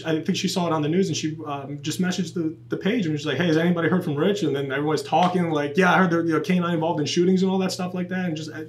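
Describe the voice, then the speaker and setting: A man's voice: fairly high pitch, racing, hardly any pauses. A man in his late twenties, a police officer guest, chatting casually on a podcast and acting out quotes as he tells stories. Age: 20s. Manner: animated storyteller, acts out quotes.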